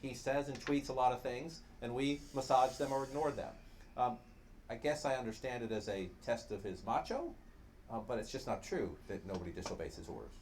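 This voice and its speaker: A man's voice: mid-range voice, brisk, darkish tone. A man anchoring a television news programme from a studio. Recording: TV broadcast with faint ambient noise.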